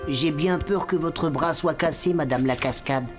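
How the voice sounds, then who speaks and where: A woman's voice: low-pitched, normal speed, close to an everyday voice. A woman voicing a mild, apologetic animal doctor in a children's cartoon, hesitant and soothing. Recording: music behind dialogue.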